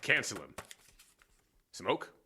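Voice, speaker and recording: A man playing a gruff, sarcastic, irritable radio station boss in an audio drama. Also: slow pace, bright, forceful tone, slight background noise. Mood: irritated, sarcastic. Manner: gruff, sardonic. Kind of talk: period radio drama acting.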